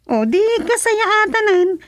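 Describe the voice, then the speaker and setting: A woman's voice: very high-pitched, sing-song, unhurried, dry recording, clean studio sound, heavily acted. A woman playing a curious, helpful daughter in a radio drama, with an inquisitive, admiring manner.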